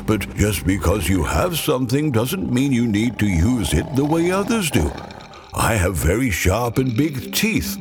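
A man voicing a gentle, thoughtful tiger, a sweet best friend in a children's storybook, in a deliberate, encouraging way. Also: on the low side.

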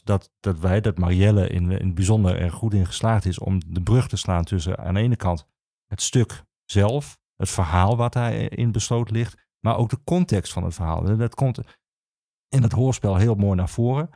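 A man talks conversationally as an archivist being interviewed. His low voice is dark and gravelly, and he often repeats words while he gathers his thoughts. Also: normal speed, no room sound, clean studio sound. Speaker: regional archivist.

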